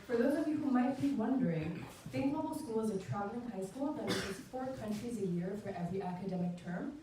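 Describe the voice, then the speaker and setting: A teenage girl co-hosting a school showcase, explaining clearly. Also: medium pitch, lively intonation, fast talker. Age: teens. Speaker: emcee. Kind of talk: event hosting. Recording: echoey hall, mic far off, noisy.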